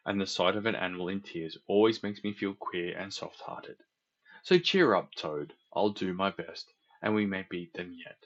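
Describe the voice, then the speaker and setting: A man voicing a grave but soft-hearted working engine driver in a storybook, earnest, steady and kindly. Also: sits low, muffled recording. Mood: reassuring.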